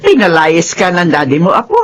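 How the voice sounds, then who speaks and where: A woman playing a caring, protective old grandmother with a husky voice in a Filipino radio drama. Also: lowish voice, wildly swooping pitch, brisk. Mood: concerned, comforting.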